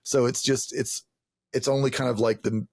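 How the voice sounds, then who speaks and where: A man in a casual podcast conversation, explaining in a halting, circling way with some offhand swearing. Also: mid-range voice, steady tone, vocal fry, dry recording, clean studio sound.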